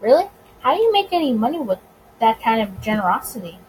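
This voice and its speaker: A woman's voice: on the high side, sing-song, fast, a little hiss or hum, rough recording. A woman voicing a wary, wry male detective in a visual novel, blunt but a little hesitant.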